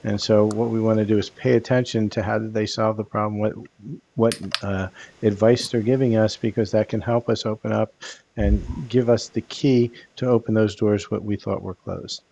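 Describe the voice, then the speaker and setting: A soft-spoken older man leads a live card reading at an easy, unhurried pace. His voice sits fairly low and stays calm and soothing throughout. He is heard close on a headset mic. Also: vocal fry, muffled recording. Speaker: tarot card reader.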